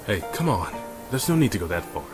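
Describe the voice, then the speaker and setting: A man's voice: lowish voice, big pitch swings, fairly quick, over music. A man playing a loyal, encouraging soldier in a fantasy audio drama, speaking earnestly and reassuringly. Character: loyal, encouraging male soldier comrade of a werewolf army.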